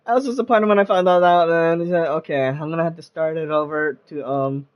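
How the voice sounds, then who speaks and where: A man's voice: on the high side, fairly even intonation, brisk. A man giving casual live commentary while streaming a video game. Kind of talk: casual live stream commentary, reading some dialogue aloud. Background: video game music.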